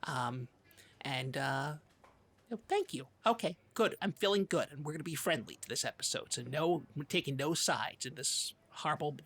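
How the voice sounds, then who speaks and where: Husky guy on a comedy podcast, kinda sharp tone, stammers along all mock serious. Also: fairly high pitch, animated. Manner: halting, stammering, mock-solemn. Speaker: podcast co-host. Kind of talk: improvised comedic podcast chat.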